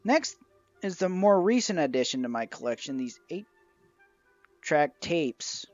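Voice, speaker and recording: A man presenting a collection review in a measured, semi-scripted narration, moving through it item by item. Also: fairly high pitch, animated, no room sound. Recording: dull, lo-fi audio with music behind.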